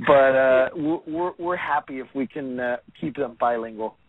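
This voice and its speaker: An upbeat, energetic American man speaking conversationally as a guest in a radio interview. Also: mid-range voice, normal speed, phone-quality sound, crowd noise. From Miami, United States. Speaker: entrepreneur and author, show guest.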